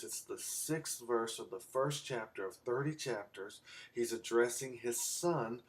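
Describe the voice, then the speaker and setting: A man raised in Belgium, giving an informal explanatory lecture in a halting, deliberate way with long pauses. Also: medium pitch, distant mic. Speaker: amateur Bible scholar giving a video response.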